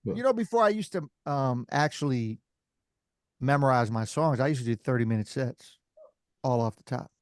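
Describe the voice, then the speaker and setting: A man's voice: medium pitch, animated, moderate pace, dry recording, clean studio sound. A man, a rapper, talking in a laid-back, loose way on a podcast, with many fillers and repeats. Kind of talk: casual podcast chat.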